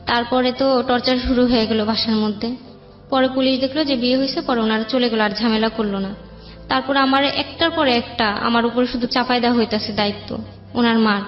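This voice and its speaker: High-voiced young Bangladeshi woman telling her story. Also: steady tone, fairly quick. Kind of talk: personal storytelling in an interview. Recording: dull roomy sound, background music.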